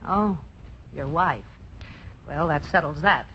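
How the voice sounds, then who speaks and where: A woman's voice: deep voice, slow, fairly bright tone. An actress playing a wry, man-hungry older aunt in a vintage radio comedy, emphatic and wisecracking. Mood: dry, wry. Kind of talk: 1930s-40s radio comedy acting. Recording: dull, noisy vintage radio broadcast recording.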